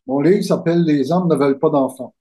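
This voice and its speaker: A man from Quebec with a Québécois accent, speaking as a guest author in a conversational interview over a video call, in a slow, measured, deliberate way. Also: fairly high pitch, warm tone. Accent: Québécois French. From Quebec, Canada.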